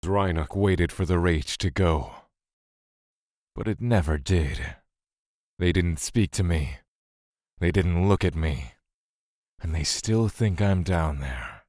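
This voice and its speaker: Bitter grieving god, deep, unhurried, cold menace, audio drama. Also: male voice, a little mellow, no room sound, studio quality. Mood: brooding, grieving. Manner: slow, deliberate, cold menace. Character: brooding, bitter male god, grieving and vengeful.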